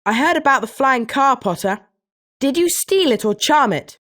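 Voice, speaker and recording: A woman's voice: high-pitched, lively intonation, moderate pace, a bit bright. A woman voicing a pointed, nosy young British schoolboy wizard in scripted video game lines.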